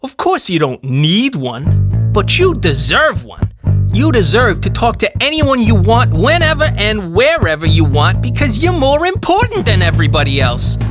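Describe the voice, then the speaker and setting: Casting: woman as slick, pushy salesperson, raised lively pitch, emphatic flattery, comic monologue over music. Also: moderate pace, close to an everyday voice. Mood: flattering, grandiose. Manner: grandiose, emphatic.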